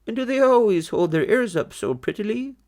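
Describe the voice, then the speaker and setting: Man playing a kindly, animated old grandfather rabbit. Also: high voice, pitched above the performer's own voice. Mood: kindly curious. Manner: gentle, measured, grandfatherly. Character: kind, wise old grandfather rabbit, benevolent.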